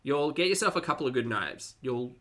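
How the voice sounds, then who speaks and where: A reaction video host with a bright, forward voice in a middle register. The delivery swings widely in pitch, casual and conversational, with exasperated, sarcastic asides throughout the running critique. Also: animated, a little fast. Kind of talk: casual reaction commentary over a cooking video.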